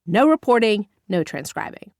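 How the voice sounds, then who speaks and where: A woman narrates her memoir in the first person, wry and conversational. Her voice has a gravelly fry and swings lively in pitch. The recording is dry and close, with no room sound. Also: moderate pace, dry recording. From Northern California. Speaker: audiobook narrator.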